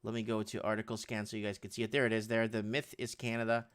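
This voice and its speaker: A man's voice: lowish voice, level tone, fast talker, fairly bright tone, smooth. A man hosting a casual live-stream talk show, reading headlines aloud and chatting with a guest, whose first language is Portuguese.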